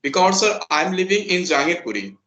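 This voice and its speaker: Indian-accented man, high bright voice, earnest and polite, echoey room. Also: big pitch swings, fairly quick. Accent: Indian English. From Bihar, India. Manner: earnest, polite, often says "sir". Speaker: interviewee, English learner. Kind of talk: casual conversational interview.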